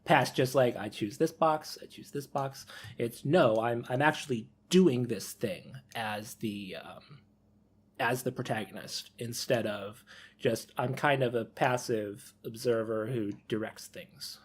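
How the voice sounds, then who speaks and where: A man with a medium-pitched voice talks as a panelist on a podcast. His style is casual and conversational, as in a group discussion. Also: unhurried, husky.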